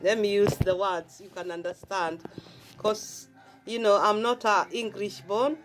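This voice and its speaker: A woman's voice: mid-range voice, fairly even intonation, unhurried, over music. A woman from Kenya with a Kenyan accent, talking casually about her faith and repeating phrases as she explains herself at length. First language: Swahili. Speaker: vlogger sharing faith. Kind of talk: casual devotional talk. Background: faint gospel song playing.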